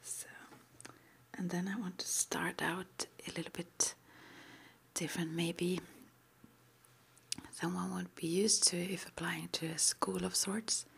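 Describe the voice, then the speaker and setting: A woman whispering breathily in an improvised ASMR roleplay, halting and gently reassuring as she plays a guide at a witch school. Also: lowish voice, flat delivery, vocal fry, breathy edge.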